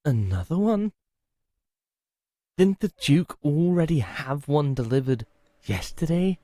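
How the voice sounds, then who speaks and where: A man acting in an audio roleplay as a playful, sinister manor servant, talking slowly and theatrically. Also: a little high, very animated, mellow, dry recording, studio quality. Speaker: servant called Happiness greeting a captive.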